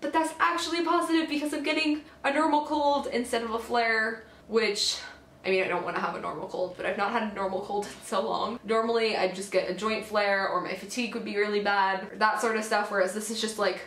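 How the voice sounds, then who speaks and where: A teenage girl vlogging casually to camera at home, a little hoarse from a cold, chatty and full of fillers and self-corrections. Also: medium pitch, fairly even intonation, brisk, a bit bright, echoey room, a little hiss or hum. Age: teens. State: has a cold, slightly hoarse. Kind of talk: casual talking-head vlog. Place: small indoor room at home. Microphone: camera at close range.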